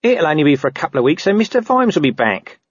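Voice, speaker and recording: Man as a cheeky, chummy, husky-voiced copper. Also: fairly high pitch, big pitch swings, quick pace, no echo, muffled recording, voice pushed up.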